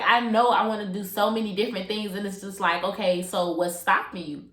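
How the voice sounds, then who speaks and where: A woman's voice: mid-range voice, fairly bright tone. Congested young woman doing a loose slangy solo podcast, echoey room. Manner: chatty, slangy, lots of fillers and asides. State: congested from a cold. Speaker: podcast host. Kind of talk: casual solo podcast chat.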